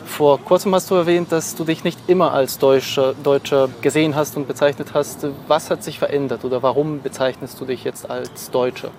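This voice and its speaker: A man's voice: mid-range voice, fairly even intonation, brisk, hardly any pauses, darkish tone. Male TV interviewer, faint street noise. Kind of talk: conversational broadcast interview.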